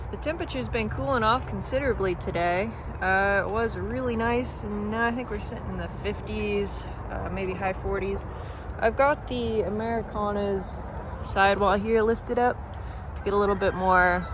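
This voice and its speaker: A relaxed farm vlogger with a drawl who talks through the chores outdoors, filling pauses with ums and uhs. The voice is a touch nasal from a stuffy nose. Steady outdoor noise runs under the whole recording. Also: mid-range voice. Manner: easygoing, chatty, many ums and uhs. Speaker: small farmer vlogging their farm. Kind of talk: casual vlog narration. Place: outdoors on a farm, by a chicken coop.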